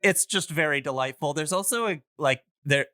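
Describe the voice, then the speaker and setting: Bright, clear-voiced man chatting enthusiastically. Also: a little high, moderate pace, dry recording, studio quality. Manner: enthusiastic, discursive explaining. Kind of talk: casual podcast chat.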